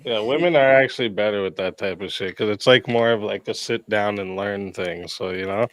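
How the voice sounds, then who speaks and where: A man's voice: on the low side, brisk, no room sound, low-quality recording. A man with a nasal voice hosting a stream, chatting casually, laughing often and joking about himself. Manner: laid-back, laughs often, self-deprecating. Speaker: stream host and debate moderator. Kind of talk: casual chat.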